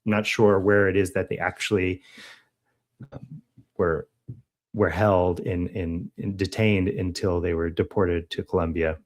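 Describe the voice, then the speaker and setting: Low-voiced American man discussing local news. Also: fairly even intonation, measured pace, pauses often. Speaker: podcast co-host.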